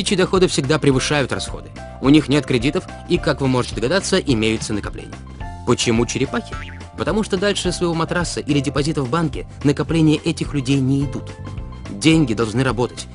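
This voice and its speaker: A man reading a scripted educational explainer in a measured, instructive way, as a narrator for an animated film. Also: fairly high pitch. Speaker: narrator of an educational cartoon about personal finance. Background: background music.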